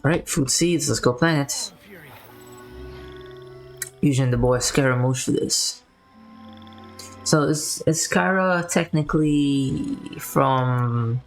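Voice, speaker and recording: A woman giving casual, slangy gameplay commentary in a laid-back, musing way. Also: low-pitched. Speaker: game streamer.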